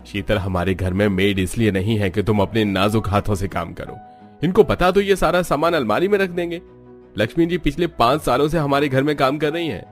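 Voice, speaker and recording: A man's voice: medium pitch, brisk, soft background music, natural voice. A man voicing a rich, reassuring young husband in a cartoon.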